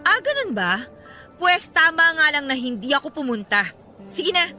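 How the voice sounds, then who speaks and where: A woman's voice: sits high, sing-song, normal speed, pushed and bright, faint music behind, heavily acted. An actress playing an indignant, sarcastic mother who feels bitterly wronged, in an emotional radio drama style. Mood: irritated, dismissive. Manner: biting, sarcastic retorts.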